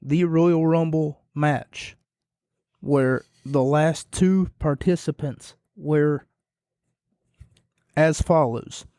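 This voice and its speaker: A man hosting a solo podcast, rambling and self-deprecating, his voice going hoarse and quiet. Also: a little high, steady tone, extremely slow, pauses often, no echo, studio quality. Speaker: podcast host. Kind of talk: casual solo podcast recap.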